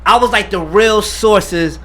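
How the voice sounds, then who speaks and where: A man co-hosting a radio show with boisterous, slangy banter, interjections and shout-outs. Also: sits high, takes it slowly.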